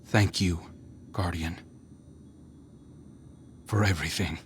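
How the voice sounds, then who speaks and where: An actor playing an earnest, reflective warrior in a video game, speaking in a sincere, gentle, measured way. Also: male voice, sits low, fairly even intonation, slight background noise. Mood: grateful, tender. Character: earnest, reflective male warrior seeking redemption. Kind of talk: video game character dialogue.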